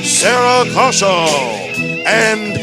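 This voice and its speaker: A man announcing a talk show introduction, with loud guitar theme music playing. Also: high voice, very slow.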